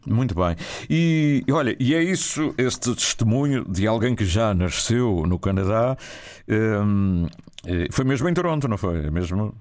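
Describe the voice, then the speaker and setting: A man's voice: fairly low pitch, expressive, unhurried, a little mellow. A man interviewing a guest in an informal, conversational way, often prompting with tag questions.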